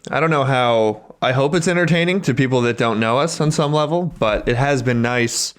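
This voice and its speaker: A man's voice: medium pitch, normal speed. Easygoing man in casual podcast banter. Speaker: podcast co-host. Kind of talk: casual comedic chat between friends.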